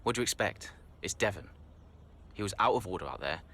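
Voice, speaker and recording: A guarded young race driver in a game interview, deep-ish voice, faint noise. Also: male voice, lively intonation, fast talker, bright, forceful tone, full character voice. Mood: irritated, guarded. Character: guarded, frustrated young male racing driver. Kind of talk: video game cutscene acting.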